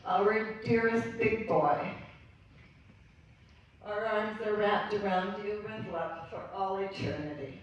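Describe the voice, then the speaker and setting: A woman reading a written eulogy aloud in a slow, tender, reflective way at a memorial gathering. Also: medium pitch, muffled recording. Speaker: family member giving a eulogy. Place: memorial gathering in a large room. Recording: echoey hall, distant mic, faint hum.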